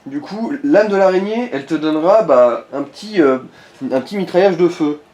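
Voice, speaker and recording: A man's voice: fairly high pitch, animated, moderate pace, darkish tone, highs cut off. A man chatting casually in colloquial speech as he coaches another player through a game, giving tips as co-commentator. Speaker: let's play co-commentator guiding the player. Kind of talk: casual gaming chat. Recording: echoey room, faint background noise.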